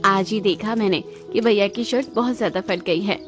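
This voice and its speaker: A woman voicing a gentle, loyal young sister from a poor family in a cartoon, speaking earnestly and plainly. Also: mid-range voice, moderate pace, soft background music, close to an everyday voice. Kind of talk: cartoon moral-story dialogue in Hindi.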